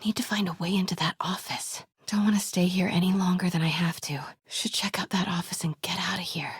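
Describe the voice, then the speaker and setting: A very breathy, slightly low-pitched young American woman as a plucky investigative reporter in a video game. She sounds natural and determined, with a wry edge, in a dry, close recording. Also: fairly even intonation, a little fast, dry recording. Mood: hushed, focused. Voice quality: breathy, often hushed.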